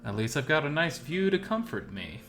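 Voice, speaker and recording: A man's voice: on the high side, sing-song, moderate pace, vocal fry, a bit bright, faint music behind, voice pushed up, heavily acted. A man playing a drowsy, affectionate boyfriend just waking up, in a visual novel. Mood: fond.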